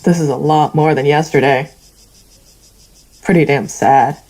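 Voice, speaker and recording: Casting: cynical cowgirl for a post-apocalyptic western drama, lowish mellow voice, blunt and dry delivery. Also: fairly even intonation, measured pace, low-quality recording. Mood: gruff, impatient. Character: blunt, cynical female ranch hand yearning for a better life. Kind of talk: stage-style audio drama acting.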